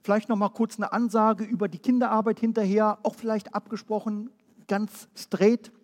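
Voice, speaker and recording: A man from Berlin preaching a Pentecostal sermon, firing off rhetorical questions and then dropping quiet and low. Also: level tone, normal speed, in a large hall. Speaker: pastor.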